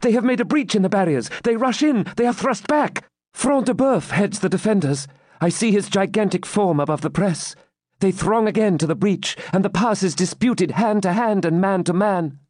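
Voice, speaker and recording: A man's voice: high voice, moderate pace, darkish tone, no echo, clean studio sound, pitched above the performer's own voice. A man voicing a fervent, courageous young maiden with breathless, exclamatory, archaic storybook speech. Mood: agitated, urgent.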